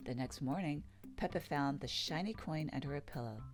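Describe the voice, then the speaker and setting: A woman reading a children's picture book aloud, narrating in an expressive sing-song way. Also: low voice, normal speed, faint music behind. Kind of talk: read-aloud storybook narration.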